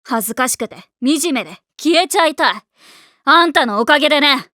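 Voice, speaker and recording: A hot-tempered high school tomboy for an anime. The voice is high and bright, with slangy, boyish phrasing. It is blunt and defensive, recorded very dry. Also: female voice, animated, fairly quick, no echo. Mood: hurt, angry. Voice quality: rough, slangy boyish speech. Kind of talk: anime voice acting.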